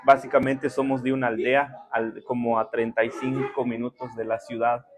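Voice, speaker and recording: A man's voice: mid-range voice, level tone. Humble young Guatemalan man with faint noise. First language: K'iche'. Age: young adult. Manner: humble and thankful, with many pauses and fillers. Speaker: podcast guest. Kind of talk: conversational interview.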